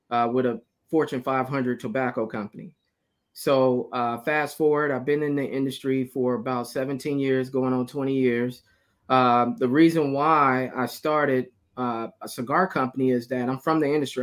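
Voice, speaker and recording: A man in his forties, from Alabama, answers interview questions in a mid-range voice. He keeps an easygoing tone, often pausing on "uh" and chuckling lightly as he talks. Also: mid-range voice, fairly even intonation. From Alabama, USA. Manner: easygoing, laughs often, many "uh" pauses. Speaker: guest, cigar company owner. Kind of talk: casual interview.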